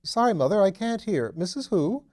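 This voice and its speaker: A man's voice: high voice, big pitch swings, normal speed, darkish tone, voice pushed up. A man voicing an amiable aristocratic detective in an audiobook, breezy, leisurely and courteous. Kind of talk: audiobook character dialogue.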